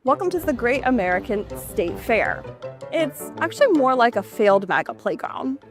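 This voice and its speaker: A woman's voice: a little high, sing-song, normal speed. Woman narrator, scripted, dry sardonic tone, faint music behind. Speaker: video reporter.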